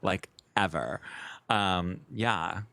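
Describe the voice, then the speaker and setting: An American man co-hosting a podcast in casual banter, chatty and expressive, with a campy twang in his voice. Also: low-pitched, very slow, no room sound. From Chicago, USA. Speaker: podcast co-host.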